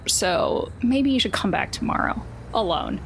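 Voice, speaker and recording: A woman voicing a tough male guard who is a fan but quietly menacing, in a tabletop roleplaying game. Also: medium pitch, animated, unhurried, vocal fry, a little hiss or hum. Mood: guarded, firm. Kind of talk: game master voicing non-player characters.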